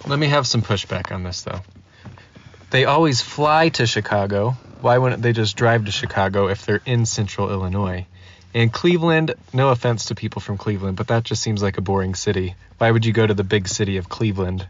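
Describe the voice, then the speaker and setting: A man chatting casually as a guest on a podcast. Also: on the low side. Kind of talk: casual chat. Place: inside a car. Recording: dull lo-fi, faint noise.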